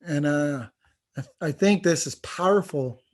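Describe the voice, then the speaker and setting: A man speaking as a language teacher on a panel, giving a presentation in an earnest, informal and somewhat halting way. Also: fairly high pitch. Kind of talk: presentation with testimonials read aloud.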